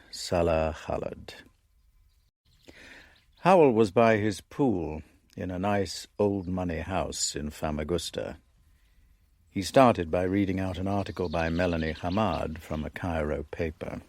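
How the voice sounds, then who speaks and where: A journalist narrating a radio play. His voice is very low and measured, recorded dry and close as he recounts a scandal. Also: darkish tone, dry recording. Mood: dry, grave. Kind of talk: dramatised first-person narration.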